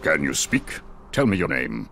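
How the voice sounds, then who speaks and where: A dignified older ruler from a video game. The deep voice is gravelly and stately, moving with expressive swings, with music clearly behind it. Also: male voice, moderate pace, heavily acted. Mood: concerned, commanding. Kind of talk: video game cutscene acting.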